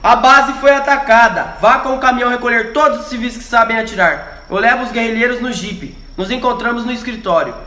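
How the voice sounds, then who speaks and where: A man's voice: very high-pitched, brisk, few pauses, bright, forceful tone. A man playing a brusque, decisive guerrilla commander in an amateur radio drama, giving curt questions and orders. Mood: commanding. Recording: roomy, echoey amateur recording.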